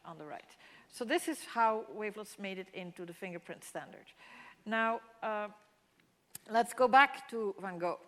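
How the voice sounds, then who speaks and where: A woman whose first language is Dutch, giving a public mathematics lecture with slides and explaining things with frequent restarts and fillers. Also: mid-range voice. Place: lecture hall. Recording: long hall reverb.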